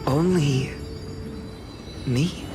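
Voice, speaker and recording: Humble young game hero, earnest, hesitant, unhurried pace, long reverb, music underneath. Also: male voice, a little high. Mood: awed.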